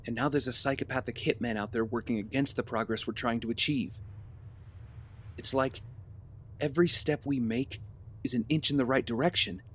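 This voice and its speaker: A man's voice: medium pitch, fairly even intonation, moderate pace, fairly bright tone, natural voice. A man playing a brooding, disillusioned young vigilante in an audio drama, with a weary, earnest manner. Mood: weary doubt. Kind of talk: scripted audio drama acting. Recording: clear background noise with ambience.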